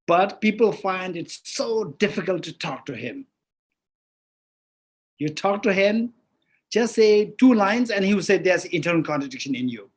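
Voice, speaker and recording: A middle-aged man with as his gives a Buddhist teaching, asking himself questions and answering them, with many restarts. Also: a little high. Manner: lively, rhetorical self-questioning with frequent restarts. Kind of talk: dharma teaching.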